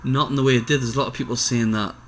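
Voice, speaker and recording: A man's voice: medium pitch, steady tone, racing, a little hiss or hum. A man chatting informally as co-host of a podcast. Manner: informal, conversational. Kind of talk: casual chat.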